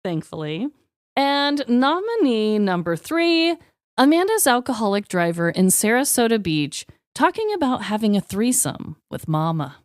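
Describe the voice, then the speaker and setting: Woman podcaster chatting casually, super lively pitch swings, clean dry sound, informal and jokey. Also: unhurried, no room sound. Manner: animated, informal, occasional swearing. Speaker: podcast co-host. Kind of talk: casual comedic chat and storytelling.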